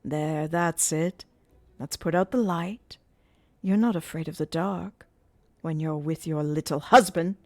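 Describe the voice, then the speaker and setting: Casting: woman playing a cruel, jealous man, low and mocking, menacing, dry close read. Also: a little slow, dry recording. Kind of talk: audiobook character voice.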